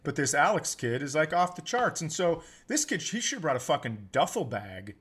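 A man's voice: fairly high pitch, sing-song, moderate pace, fairly bright tone, breathy edge. A middle-aged American man telling stories casually on a comedy podcast, exasperated and freely swearing. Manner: exasperated, profane ranting. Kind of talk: casual comedic storytelling chat.

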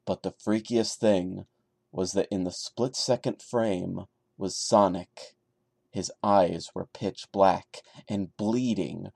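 A man's voice: lowish voice, a little mellow, no room sound, studio quality. A man hosting a podcast, giving a dramatic story reading broken up by sarcastic, mocking commentary. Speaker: podcast host.

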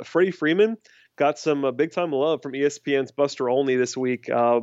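A man's voice: mid-range voice, darkish tone, no room sound, dull audio. A man hosting a casual sports podcast, chatty and wry, often restarting his sentences. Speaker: podcast host.

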